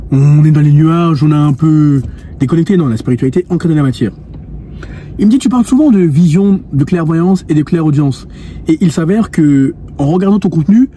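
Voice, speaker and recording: A man's voice: a little high, dark tone, a little hiss or hum. A man in his twenties, a coach speaking earnestly to the viewer about spirituality and women, telling an anecdote with many restarts. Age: 20s. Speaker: dating and lifestyle coach. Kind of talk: monologue addressed to the viewer.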